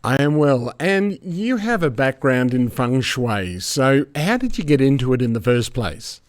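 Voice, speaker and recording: Calm male podcast host. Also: medium pitch, a little slow. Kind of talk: interviewing a guest.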